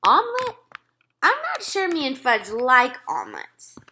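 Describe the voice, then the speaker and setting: A woman's voice: high voice, wildly swooping pitch, a little slow, bright, forceful tone, slightly rough, voice pushed up, exaggerated voice. A woman voicing a polite, doubtful fourth-grade boy in a children's storybook read-aloud.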